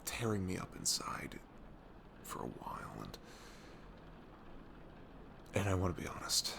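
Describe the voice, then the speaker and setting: A man playing a devoted, goofy young best friend in an ASMR audio roleplay, earnest and nervously lovestruck, with a soft velvety voice. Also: low voice, very animated, vocal fry, background noise, heavily acted. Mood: hesitant, pained. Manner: hesitant, halting, earnest.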